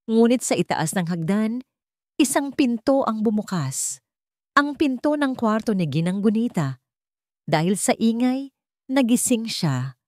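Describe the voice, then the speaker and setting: A woman's voice: fairly high pitch, sing-song, a little slow, pauses often, a little mellow, no room sound, clean studio sound. A woman with a Filipino accent reading a dramatic story as a scripted narrator.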